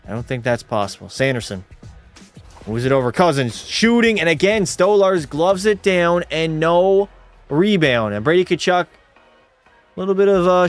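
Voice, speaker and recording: Male streamer calling hockey and chatting with viewers, a touch high-pitched, laid-back delivery. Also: clean tone. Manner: relaxed, drops into terse hockey call mode. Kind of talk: casual play-by-play commentary and chat reading.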